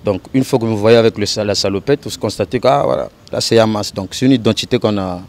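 Congolese musician guy being interviewed outside, lowish voice, calm and explains stuff with lots of "donc euh". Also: fast. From Brazzaville, Congo. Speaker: guest musician. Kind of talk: casual interview. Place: outdoor courtyard. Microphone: handheld mic held by host.

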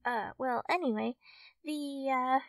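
Woman as shy, excitable fox girl for ASMR friend roleplay; halting, unhurried delivery, dry sound, slightly off-mic. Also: sits high, no echo, distant mic. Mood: puzzled, sheepish. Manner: hesitant, halting, giggly, addressing the listener as a friend. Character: friendly young fox-tailed cartoon studio girl, shy, easily excited. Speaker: roleplay performer.

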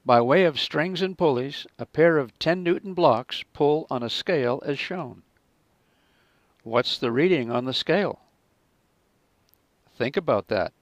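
A man narrating a scripted physics lesson as a teacher, in a measured, explanatory manner. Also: a little high, expressive, dry recording, highs cut off.

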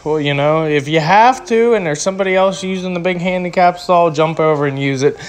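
A man's voice: a little high, brisk, clean tone. Playful young man rambling in a voice-over. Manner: playful, rambling, mock-serious. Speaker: restroom review vlogger. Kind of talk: casual joking voice-over review. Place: home kitchen, voice-over.

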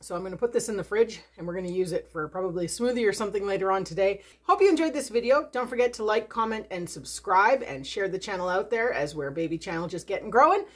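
A woman's voice: mid-range voice, animated, quick pace, few pauses, breathy edge. A woman hosting a homesteading cooking video, chatting casually and upbeat as she works in her home kitchen. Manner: chatty, upbeat, thinks aloud while working. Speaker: homesteading channel host.

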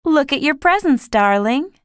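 A loving, bright-spirited mother from a family storybook, voiced by a man. Her voice is lifted well above his own, with lively, swooping pitch and smooth, lilting phrasing. The sound is dry and close. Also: a little slow, no echo, full character voice. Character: loving, cheerful mother of three.

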